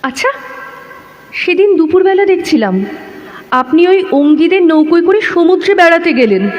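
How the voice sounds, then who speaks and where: A woman's voice: very high voice, big pitch swings, moderate pace, in a large hall, muffled recording. An actress playing a bold, teasing married woman, playful and forward, in an audio drama. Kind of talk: Bengali audio drama acting. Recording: slightly noisy recording.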